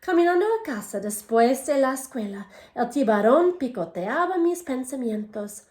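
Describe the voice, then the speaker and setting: A woman's voice: high voice, big pitch swings, moderate pace, a little mellow. A woman teacher reading a picture book aloud to young children, first in English and then in Spanish, stopping to ask the class questions. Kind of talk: bilingual read-aloud for children. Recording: echoey room.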